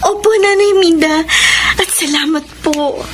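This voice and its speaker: A woman's voice: very high voice, measured pace. A woman playing a meek, hardworking teenage Filipino wife in a radio drama, polite, earnest and eager to please. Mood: meek, apologetic. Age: young adult. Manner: polite, soft-hearted, earnest. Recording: noisy radio drama track.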